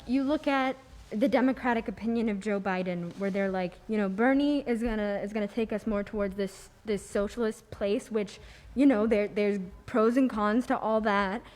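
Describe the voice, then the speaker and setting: A woman's voice: on the high side, a little mellow. A young woman on a discussion panel, giving opinions in a casual, slangy way and voicing imagined quotes. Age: young adult. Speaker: youth podcast panelist.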